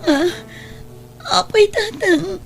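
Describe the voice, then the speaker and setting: A woman's voice: very high voice, takes it slowly, full character voice. A woman with a Filipino Ilocano accent playing a battered, remorseful mother, tearful and pleading in a melodramatic radio drama. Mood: tearful, distraught. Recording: music bed under radio drama dialogue.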